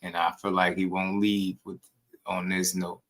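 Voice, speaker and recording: Guy with lowish voice, bright and punchy, slangy emphatic boxing chat. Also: level tone, measured pace. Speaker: boxing talk show co-host. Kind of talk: casual sports discussion.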